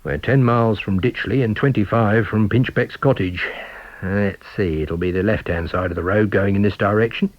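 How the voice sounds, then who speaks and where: A man's voice: on the low side, normal speed, dry recording, muffled recording, lightly acted. A man voicing a practical, plain-spoken police inspector with a matter-of-fact, rueful manner. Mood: businesslike.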